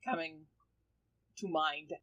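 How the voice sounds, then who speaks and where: A woman's voice: low-pitched, steady tone, slow, a bit bright, lo-fi sound. A woman on a tabletop gaming podcast, chatting casually and bluntly, with some swearing. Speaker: player on an actual-play podcast. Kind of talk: casual panel chat.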